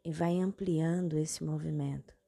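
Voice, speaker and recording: Brazilian woman, meditation guide, very unhurried, creaky voice, calm short instructions, long pauses. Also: deep voice. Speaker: guided meditation podcast host. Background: meditation music.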